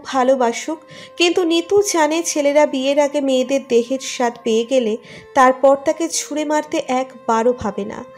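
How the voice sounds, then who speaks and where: A woman reading a scripted romantic story as its narrator. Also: sits high. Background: music under the narration.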